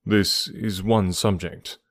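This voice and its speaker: A grave, determined gentleman of a period novel, as a male reader plays him. His voice is fairly low, with formal, measured phrasing and gentle teasing. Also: fairly even intonation, dry recording, clean studio sound, full character voice. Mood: probing. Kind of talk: audiobook character dialogue.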